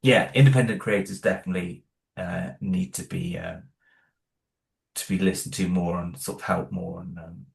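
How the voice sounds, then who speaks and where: A man with a lowish voice talks through his plans in a loose, halting way, circling back and restarting his sentences. He is heard in a noticeably echoey room. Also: expressive, vocal fry. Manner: hesitant, many restarts and fillers. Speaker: guest, horror audio drama creator. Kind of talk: casual interview answers.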